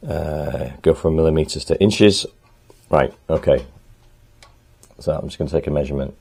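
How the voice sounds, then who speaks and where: A man talking casually to the camera in his home workshop, thinking aloud as he works, a little hoarse. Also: a bass voice, normal speed, dark tone. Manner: chatty, thinks aloud while working, frequent ums. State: slightly hoarse, clearing throat. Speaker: motorcycle restorer and channel host.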